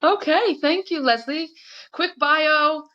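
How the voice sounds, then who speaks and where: A woman in her sixties from the United States, a podcast guest speaking in a thoughtful, measured way with small hesitations. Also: high-pitched, bright, forceful tone. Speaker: guest, nurse practitioner. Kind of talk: conversational podcast interview. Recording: dull lo-fi remote audio.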